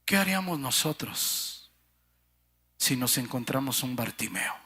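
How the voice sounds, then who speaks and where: A man preaching with fervor, his high voice leaping up and down across wide swings. His tone is bright and a little husky. He speaks slowly and deliberately, turning often to his listeners as brother and sister. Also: animated. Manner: impassioned, swinging from shouts to hushed low phrases. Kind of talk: sermon.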